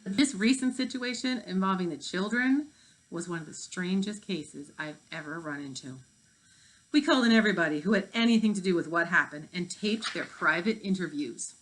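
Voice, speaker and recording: A woman's voice: lowish voice, expressive, normal speed, natural voice, poor audio. A woman reading the part of a folksy, world-weary female police chief in a wry, plainspoken manner.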